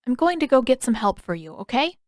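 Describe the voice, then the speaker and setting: A woman voicing an earnest, gently worried young boy in an audio story. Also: fairly high pitch, very animated, a little fast, no room sound, studio quality, voice pushed up. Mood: earnest, concerned.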